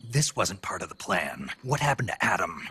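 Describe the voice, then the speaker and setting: Gruff, low-voiced American soldier. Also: male voice, sing-song, moderate pace, pushed and bright, full character voice. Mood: wary, curt. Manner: terse, intense. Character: gruff, terse American soldier on covert mission. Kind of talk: dramatic video game cutscene acting.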